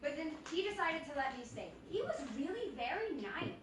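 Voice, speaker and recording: Woman playing a sweet, high-voiced naive daughter. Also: very animated, brisk. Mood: earnest. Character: sweet, naive, doting young daughter in love. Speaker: stage radio-play actor. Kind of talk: live radio play acting. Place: theatre stage, live performance. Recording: echoey distant stage, some noise.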